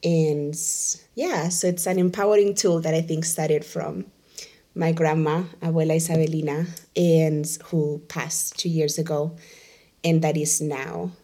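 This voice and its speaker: A young woman from Venezuela with a notably low voice, speaking as an interview guest. She talks reflectively, in quick bursts, leaning on "like" as she thinks. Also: little pitch movement, dark tone. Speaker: Spanish teacher, guest. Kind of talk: candid conversational interview.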